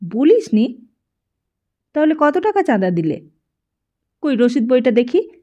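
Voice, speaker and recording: A woman's voice: high voice, sing-song, slow pace, mellow, muffled recording, full character voice. A woman voicing a pushy, scornful teenage boy who badgers and dismisses in an audiobook story. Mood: impatient.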